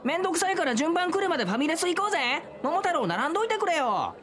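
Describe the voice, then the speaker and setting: A flippant, boyish slacker sidekick voiced by a woman in a radio comedy. The high voice rattles along quickly in lazy slang. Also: sing-song, fairly bright tone, full character voice. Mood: lazy, casual. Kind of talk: comic radio drama acting.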